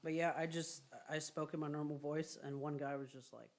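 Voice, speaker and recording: A man with a light, higher voice that callers sometimes take for a woman's, rambling through casual stories. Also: a little fast. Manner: rambling, relaxed storytelling. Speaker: stream co-host. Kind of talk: casual chat.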